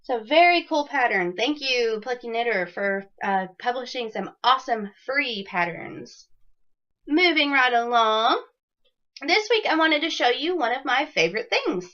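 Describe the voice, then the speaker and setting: Slightly raspy woman, lo-fi podcast. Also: a little high, lively intonation, measured pace, fairly bright tone. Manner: chatty, many ums, upbeat enthusiasm. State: raspy from a cold. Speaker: knitting podcast host. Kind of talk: casual video podcast chat. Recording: dull lo-fi audio.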